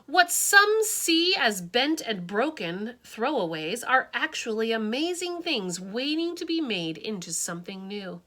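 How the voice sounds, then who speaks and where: A woman's voice: a little high, very animated, unhurried, few pauses, heavily acted. A woman voicing a kind, encouraging schoolteacher in a storybook. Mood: gentle. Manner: gentle, warm and encouraging.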